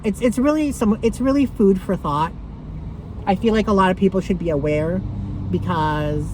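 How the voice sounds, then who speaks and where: A woman talking casually to camera about her thrift finds, rambling with lots of fillers and a loud voice. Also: on the low side, noisy background. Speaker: thrift haul vlogger.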